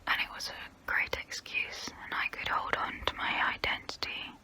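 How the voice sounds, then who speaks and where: A woman playing a tormented, confessional female vampire in an ASMR role play, whispering softly and breathily up close to the mic. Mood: guilty confession. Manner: slow, hushed, deliberate, pausing between phrases. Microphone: close-up microphone.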